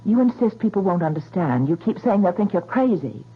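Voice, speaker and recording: A woman's voice: mid-range voice, sing-song, brisk, slightly rough. An actress playing an anxious, persistent and plucky female real estate agent in a 1970s radio drama. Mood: firm, questioning. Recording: dull vintage radio recording.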